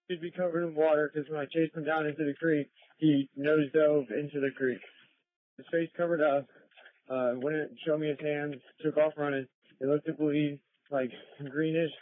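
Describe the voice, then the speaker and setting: A man's voice: a little high, no echo. A male police officer giving a clipped description over a two-way radio. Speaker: police officer reporting a suspect. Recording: phone-like, dry police radio.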